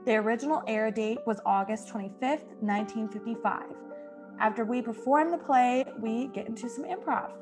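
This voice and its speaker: A woman with a fairly high voice presents a podcast as its host. She reads a scripted show introduction over clearly heard music. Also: moderate pace.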